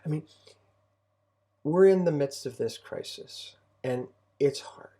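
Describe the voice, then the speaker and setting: Mellow middle-aged man, casual and reflective. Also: a little high, takes it slowly. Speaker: homeschooling parent and co-host. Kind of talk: casual conversational advice talk.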